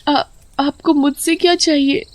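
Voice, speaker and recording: A woman voicing a timid, kindly village tea seller with a hesitant, pleading manner in an animated story. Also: high voice, unhurried, dry recording, voice pushed up. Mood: frightened.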